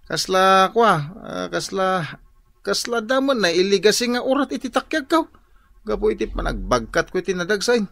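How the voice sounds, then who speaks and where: A man's voice: sits high, sing-song, normal speed, creaky, a bit bright, no echo, exaggerated voice. A man playing a lazy, whiny husband who makes hesitant excuses in a comedy radio drama. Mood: whining excuses.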